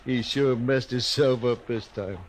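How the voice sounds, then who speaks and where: A man's voice: medium pitch, fairly even intonation, measured pace, natural voice. A man acting a callous, evasive buffalo-hide outfit boss in a 1950s radio western, grudging and drawling.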